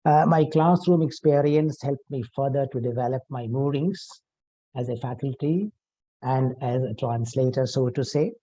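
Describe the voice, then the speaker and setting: Older man, formal academic talk, dark voice. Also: medium pitch, dry recording, muffled recording. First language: Urdu. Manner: measured, formal, with frequent "uh" pauses and self-corrections. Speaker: author presenting his book.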